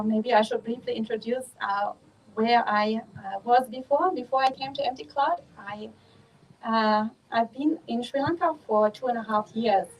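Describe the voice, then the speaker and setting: German-accented woman giving a calm talk. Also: fairly high pitch, far from the mic, slight background noise, poor audio. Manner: calm, measured, with frequent ums. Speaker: Buddhist nun giving a dharma talk. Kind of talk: dharma talk. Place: monastery hall.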